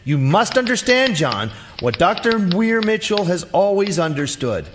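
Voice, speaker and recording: A pompous doctor lectures with clinical certainty in a fairly high, swooping voice. It is stage radio drama with clear noise in the recording. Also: male voice, big pitch swings, unhurried. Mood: lecturing, certain. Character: pompous, lecturing male medical authority, coldly certain. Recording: live theater recording with clear background noise.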